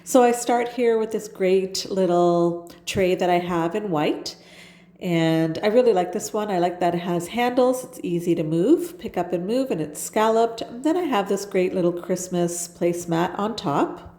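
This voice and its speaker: A woman's voice: lowish voice, measured pace, warm tone, reverberant. A woman with a stuffed-up nose from a cold, chatting enthusiastically as she narrates her home decorating. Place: home kitchen.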